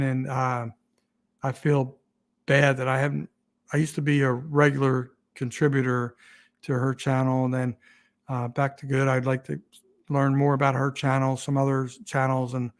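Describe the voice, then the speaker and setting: A man hosting a casual livestream, rambling and halting with many restarts, his voice getting raspy. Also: fairly high pitch. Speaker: YouTube channel host.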